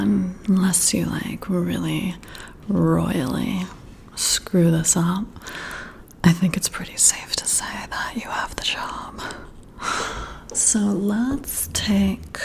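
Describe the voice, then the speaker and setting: A woman playing a flirty, confident female boss in a breathy, whispered ASMR roleplay, teasing and coy with deliberate, drawn-out phrasing. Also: medium pitch, fairly even intonation, darkish tone, a little hiss or hum. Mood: wry, amused.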